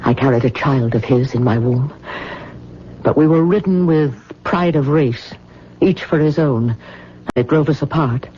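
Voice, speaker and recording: An actress playing a proud, mystical older woman in an old-time radio drama, speaking gravely and haltingly with theatrical weight. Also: extremely low pitch, warm tone. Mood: solemn. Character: heavy old Hawaiian woman, proud, mystical, solemn former lover. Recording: muffled, noisy old radio recording.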